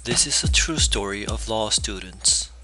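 A man's voice: lowish voice, animated, measured pace, over music. A man from South Korea, hosting a podcast in a playful, upbeat way. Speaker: podcast host. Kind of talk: introducing a podcast.

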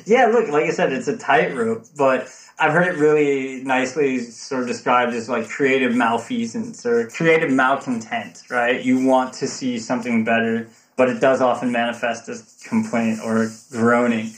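A man with a mid-range voice speaks as a guest in an interview. He explains ideas in an animated, conversational way, peppering them with "look" and "right?". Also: medium pitch, normal speed, hardly any pauses, poor audio. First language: English. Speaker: guest, technology consultant.

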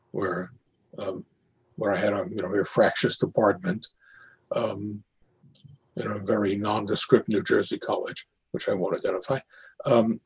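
Older man, very husky low voice. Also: a little mellow, low-quality recording. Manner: halting, with long pauses. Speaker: playwright and retired educator. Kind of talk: reflective discussion of own work.

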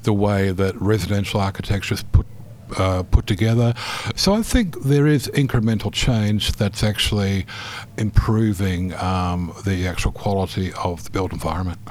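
A man from New Zealand, an architect, answering interview questions in a measured way with many pauses and restarts. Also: low voice, fairly even intonation, darkish tone, a little hiss or hum. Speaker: architect guest.

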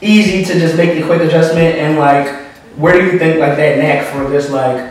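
Slangy podcast host, echoey room. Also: male voice, on the high side, moderate pace, distant mic. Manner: relaxed and encouraging, slangy. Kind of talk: casual interview. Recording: roomy echo, from off-mic.

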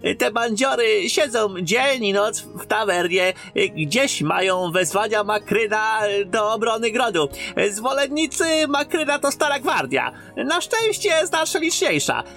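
A man acting an obsequious, gossiping innkeeper in a fantasy audio drama, with a fawning, halting manner. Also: high voice, animated, gravelly, bright, forceful tone, faint music behind, heavily acted. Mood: anxious, conspiratorial. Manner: fawning, halting, drawn-out delivery.

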